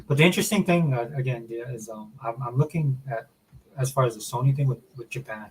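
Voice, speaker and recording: A man's voice: mid-range voice, fast talker, a little hiss or hum, poor audio. A man hosting a casual podcast chat, rambling with many fillers and restarts. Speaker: podcast host.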